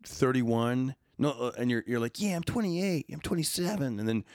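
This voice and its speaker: A man's voice: mid-range voice, moderate pace, a little mellow, no echo, studio quality. A man co-hosting a podcast in casual chat, easygoing, joking and laughing often, with many fillers. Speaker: podcast co-host.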